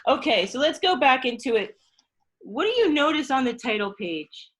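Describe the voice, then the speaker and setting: A woman's voice: high voice, big pitch swings, moderate pace, a bit bright. A woman hosting an interactive storytime lesson, prompting her listeners with questions as she talks through a book. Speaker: storytime host and teacher. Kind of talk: interactive read-aloud discussion.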